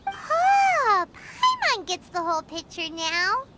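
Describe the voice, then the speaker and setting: A woman voicing a tiny, excitable fairy-like companion in a video game, who talks about herself in the third person in a very high, childlike voice. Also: takes it slowly, heavy background noise, heavily acted. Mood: knowing. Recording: game soundtrack music behind.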